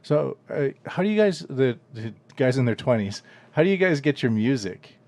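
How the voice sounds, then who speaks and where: A man's voice: mid-range voice, animated, moderate pace, a little mellow. A man in his thirties hosting a podcast, asking curious, blunt questions in a casual chat. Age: 30s. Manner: curious, blunt, swears when riled. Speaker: podcast host.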